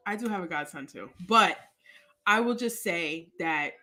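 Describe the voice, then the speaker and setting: A middle-aged woman hosting a casual livestream chat, giving motherly advice to her goddaughter and talking to viewers. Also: lowish voice. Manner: animated, motherly advice, addresses viewers as y'all. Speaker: host and godmother.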